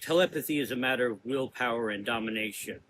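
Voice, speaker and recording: A man playing a menacing, cerebral gothic sorcerer in an audio drama, lecturing deliberately in drawn-out, taunting phrases. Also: medium pitch, a bit bright, slight background noise, natural voice. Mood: accusing.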